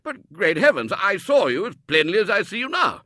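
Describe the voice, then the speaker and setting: A man voicing a blustering elderly Colonel who gushes loudly and stammers at times in a storybook reading. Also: big pitch swings, fairly quick, no echo, studio quality, heavily acted. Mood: gushing. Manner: booming, gushing, stammering at times. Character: blustering elderly male Colonel, loud and effusive.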